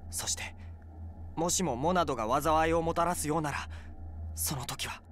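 A man's voice: a little high, moderate pace, fairly bright tone, a little hiss or hum, lightly acted. Man playing an earnest, burdened young hero. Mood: resolute. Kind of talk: Japanese video game cutscene acting.

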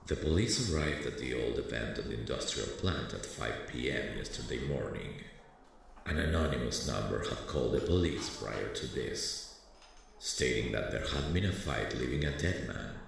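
An actor playing a weary, brooding former detective who thinks aloud slowly in an ASMR audio drama, with a deep, gravelly voice. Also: male voice, a little hiss or hum, exaggerated voice. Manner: slow, musing, muttering to himself. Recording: very roomy, echoing sound.

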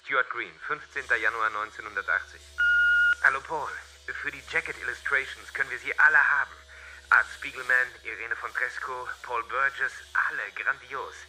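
An actor playing a pushy, excitable literary agent leaving insistent phone messages in a German radio play. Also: male voice, medium pitch, moderate pace, rough recording. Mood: upbeat. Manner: insistent, emphatic.

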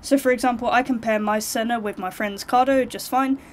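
A woman's voice: a little high, steady tone, a little fast, slight background noise. A British woman giving casual, frank buying advice to viewers as a motorcycle vlogger, speaking informally. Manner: informal, frank, hedged opinions.

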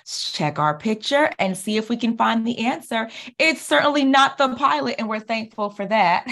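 Woman, 30s, American. Expressive, encouraging children's educator, higher pitch with creaky edges. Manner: clear, encouraging teacher talk, expressive. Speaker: speech pathologist and children's author. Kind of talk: children's read-aloud with questions. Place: small indoor office, on a video call.

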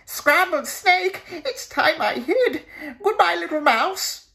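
A man's voice: very high-pitched, lively intonation, vocal fry, bright, forceful tone, voice pushed up, exaggerated voice. A man voicing a sly male snake in a children's storybook, drawing out his words. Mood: alarmed. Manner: drawn-out, inviting. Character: sly male snake, slow, falsely friendly predator.

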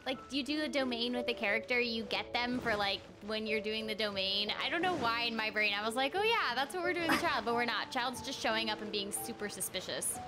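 High, animated young woman streamer. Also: fairly quick, hardly any pauses. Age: young adult. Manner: playful, giggly, exclamatory. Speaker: game streamer. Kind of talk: casual livestream chat while gaming. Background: game music.

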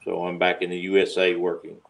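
A man in his fifties from the USA introducing himself as a guest teacher on a video call, relaxed and halting. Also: low-pitched, gravelly. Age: 50s. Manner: relaxed, halting, many fillers. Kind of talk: casual self-introduction.

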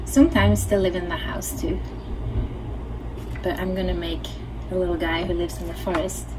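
A woman's voice: fairly low pitch, fairly even intonation, moderate pace. Norwegian-accented woman, noisy home recording. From Norway. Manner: informal, thinking aloud as she demonstrates. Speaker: puppeteer teaching a craft workshop. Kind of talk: casual craft tutorial. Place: small home studio, indoors.

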